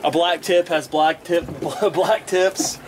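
A man's voice: fairly high pitch, fairly even intonation, slow, background noise. A man chatting casually and jokingly on a fishing boat at sea, heard from a distance. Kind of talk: casual chat. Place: open boat at sea, outdoors.

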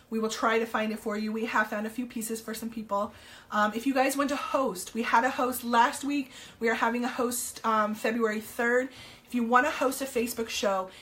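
A woman, slightly hoarse, chatting casually with viewers while selling pearls on a home live stream. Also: fairly high pitch, fairly bright tone. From New Jersey, US. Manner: chatty, upbeat, many ums, greets viewers. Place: home room, indoors.

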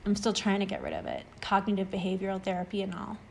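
Reassuring friend for short-story audio, played by a woman: encouraging, explanatory, mid-pitched. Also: huge pitch swings, fast, gravelly, a little hiss or hum. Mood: earnest. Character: reassuring, talkative friend who overcame extreme anxiety. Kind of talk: acted short-story dialogue reading.

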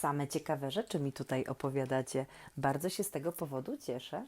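A woman who hosts and reads stories for young children, gently and playfully, asking questions and leaving expressive pauses. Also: extremely low pitch, husky. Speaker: children's story reader and host. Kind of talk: reading aloud to young children, talking to the listeners.

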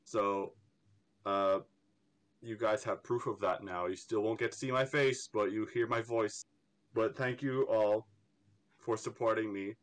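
A man's voice: on the low side, steady tone, measured pace. A young man from Ireland with a slightly American-tinged Irish accent, chatting casually as an interview guest, rambling and stammering with frequent laughs. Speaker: artist guest.

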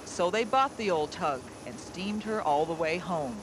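A man's voice: high-pitched, lively intonation, a little slow. A man reading scripted narration for a television documentary. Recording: engine and vehicle noise behind.

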